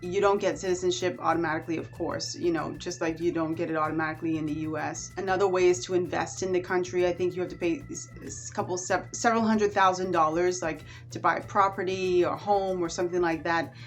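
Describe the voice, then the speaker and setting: A Bahamian woman talks straight to her viewers in a chatty, animated vlog. Her voice sits a little low for a woman. Faint music plays behind her. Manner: chatty and animated, with warm asides. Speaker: vlogger. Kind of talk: casual chat to camera.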